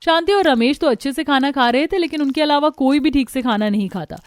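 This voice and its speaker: A woman's voice: high voice, expressive, fairly quick. A woman narrating a scripted cartoon family story.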